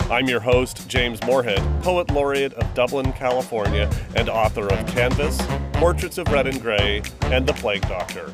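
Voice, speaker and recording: A man hosting a podcast, reading a scripted introduction. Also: mid-range voice. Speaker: podcast host. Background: music.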